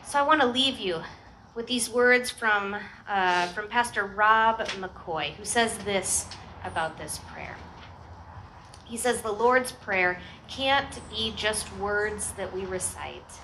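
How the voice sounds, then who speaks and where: American lady pastor doing a chatty earnest sermon outside, mid pitch, headset mic, bit of ambient noise. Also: slow, pushed and bright, poor audio. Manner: earnest, informal, rhetorical questions. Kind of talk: conversational sermon. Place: outdoor church service in a garden. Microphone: headset microphone. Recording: outdoor live recording with ambient noise.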